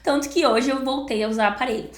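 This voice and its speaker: A young Brazilian woman with a Brazilian Portuguese accent, talking straight to camera in a casual, animated vlog and acting out what other people told her. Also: sits high, quick pace. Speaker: vlogger. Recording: echoey room.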